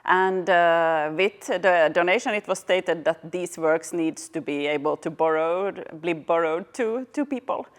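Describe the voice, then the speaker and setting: A woman explaining things in an interview as a staff member of a cultural centre, in halting English. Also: lowish voice. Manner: halting non-native English.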